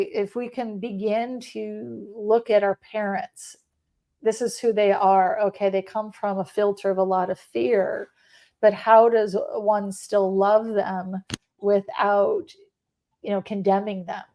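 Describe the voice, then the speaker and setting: A woman's voice: medium pitch, warm tone. A woman with a Chicago accent hosting a conversational interview, reflective and meandering as she rephrases her questions. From Chicago, United States. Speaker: podcast host.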